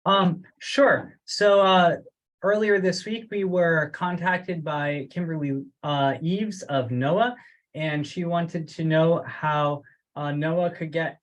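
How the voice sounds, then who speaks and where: A man's voice: a little high, fairly even intonation, takes it slowly. A man giving an informal update to colleagues on a remote conference call. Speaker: meeting participant giving a report. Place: remote teleconference.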